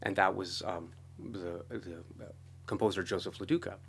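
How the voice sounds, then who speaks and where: A man's voice: low-pitched, fairly even intonation, normal speed, gravelly, slightly rough. A middle-aged American man talking casually as an interview guest, telling stories and often quoting himself. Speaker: interview guest, film and audio drama composer. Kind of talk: casual conversational storytelling.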